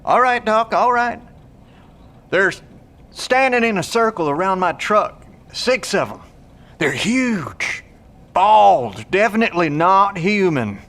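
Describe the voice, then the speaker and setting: Casting: easygoing truck-owning lookout, high male voice, folksy and plain-spoken, audio drama. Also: sing-song, slow pace, a bit bright, heavily acted. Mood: reluctant, descriptive. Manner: folksy, informal.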